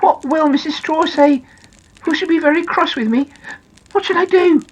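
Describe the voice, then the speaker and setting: A woman's voice: high-pitched, expressive, normal speed, a bit bright, slightly rough, pitched above the performer's own voice, heavily acted. A woman voicing a small, naive, anxious boy in a children's story, with a plaintive, innocent manner. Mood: alarmed.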